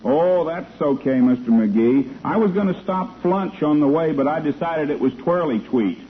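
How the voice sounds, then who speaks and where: A man's voice: a little high, animated, moderate pace, lightly acted. An actor playing an earnest, dim-witted delivery fellow who bumbles and garbles his words in a 1940s radio comedy. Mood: earnest, sheepish. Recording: noisy, tinny vintage radio recording.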